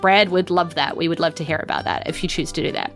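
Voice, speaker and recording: A woman's voice: low-pitched, steady tone, fast. New Zealand woman game master, music underneath. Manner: informal, rambling, self-deprecating. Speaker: game master and podcast host. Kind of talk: casual live-streamed game narration and chatty sign-off. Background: faint music.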